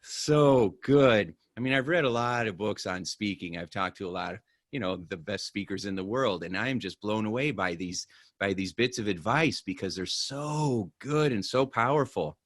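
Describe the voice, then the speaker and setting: A man's voice: lowish voice, expressive, normal speed, dry recording, studio quality. A man hosting a podcast, gushing with praise in casual interview chat. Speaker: podcast host.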